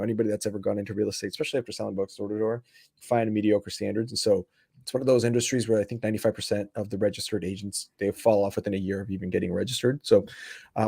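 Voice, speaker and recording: A man's voice: lowish voice, mellow, no room sound, studio quality. Upbeat rambling male podcast host. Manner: upbeat, rambling, many fillers and restarts. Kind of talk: casual podcast chat with sponsor shout-outs.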